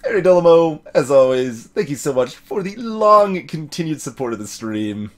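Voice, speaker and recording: A man's voice: fairly high pitch, very animated, normal speed, faint music behind. A man giving casual commentary as a let's play streamer, thinking aloud as he plays.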